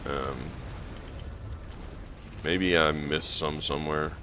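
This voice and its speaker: A hoarse man with a gravelly voice, rambling casually through gameplay commentary with lots of ums and restarts. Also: rumbling low, fairly even intonation, slow pace, noisy background. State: hoarse from allergies. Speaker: gaming channel host.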